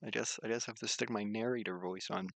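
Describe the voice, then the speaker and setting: A man's voice: on the low side, steady tone, quick pace, gravelly, a bit bright, no room sound. A man streaming his own visual novel, switching between read-aloud narration and informal asides.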